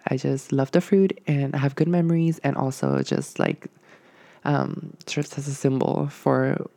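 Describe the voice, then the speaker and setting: Young man with a gravelly voice. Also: mid-range voice, dark tone, no echo. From Puerto Rico. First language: Spanish. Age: young adult. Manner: rambling, hesitant, many ums and likes. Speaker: podcast host. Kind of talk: casual solo podcast monologue.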